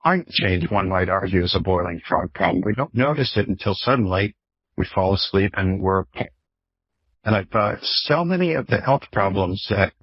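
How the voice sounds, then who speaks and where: Husky guy in his 50s explaining stuff like a professor, muffled dry audio. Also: fairly low pitch, dry recording. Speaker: professor, author and guest expert. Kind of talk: explanatory interview talk with illustrative anecdotes.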